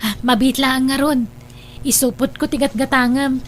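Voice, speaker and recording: A woman's voice: sits high, steady tone, normal speed, slight background noise, close to an everyday voice. A woman playing a polite, obliging young village storekeeper with an Ilocano accent in a radio drama. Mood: helpful.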